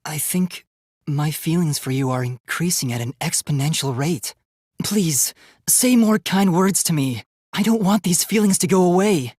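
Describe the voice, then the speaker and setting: A man's voice: on the high side, expressive, moderate pace, a little mellow, no echo, clean studio sound. A man voicing an earnest, polite young male robot student in a dramatic anime game, with formal, precise, emphatic diction. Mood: shy, tender. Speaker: video game voice actor.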